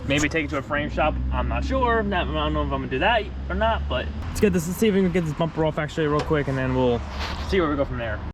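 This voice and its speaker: A man's voice: on the high side, lively intonation, fast, background noise. A man narrating casually while he takes apart a wrecked car, rambling and correcting himself, with a congested voice. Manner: rambling, informal, self-correcting as he works. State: congested after being sick. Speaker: vlogger working on his race car.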